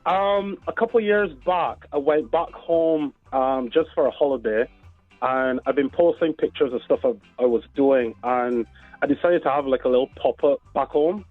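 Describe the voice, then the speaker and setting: A man's voice: mid-range voice, normal speed, dry recording. A man with a Barbadian accent, chatting casually as an interview guest. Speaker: interview guest, dessert business owner. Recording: tinny phone-like line, faint music.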